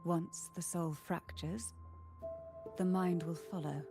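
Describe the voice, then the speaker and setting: A low, soothing, enigmatic noblewoman. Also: fairly even intonation, gravelly, over music. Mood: cryptic, solemn. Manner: soothing, slow, cryptic, formal. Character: soft-spoken, enigmatic aristocratic woman of a troubled family. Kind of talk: scripted video game voice acting.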